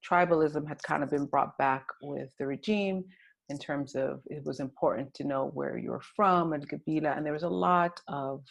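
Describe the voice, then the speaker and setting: Gravelly-voiced woman interviewer. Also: low voice, normal speed. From Sudan. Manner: thoughtful, with many fillers and "you know"s. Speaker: interviewer, filmmaker. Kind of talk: festival Q&A conversation.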